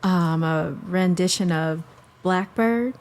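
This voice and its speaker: A woman who sings, a podcast guest with a soft voice, talking in an easy, unhurried way. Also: on the low side. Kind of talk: casual chat.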